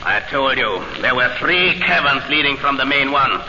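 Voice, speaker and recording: Sinister gang boss, sharp and echoing. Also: male voice, medium pitch, animated. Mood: menacing, gloating. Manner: menacing, deliberate, barking orders. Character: sinister, commanding adult male gang leader villain, cruel and gloating. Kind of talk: old-time radio serial acting. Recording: echoey, dull old radio recording with hiss.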